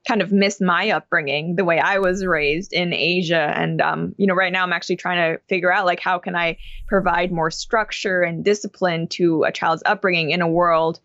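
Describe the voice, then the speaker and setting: Soft-pitched young woman, dry dull sound. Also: steady tone, moderate pace, hardly any pauses, no echo. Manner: thoughtful, frequent fillers and restarts. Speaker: interview guest. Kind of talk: casual conversational interview.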